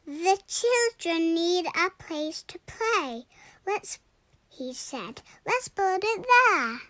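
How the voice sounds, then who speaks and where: A young girl reading a rhyming children's storybook aloud in a deliberate, expressive way. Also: very high-pitched, no echo. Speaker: story reader.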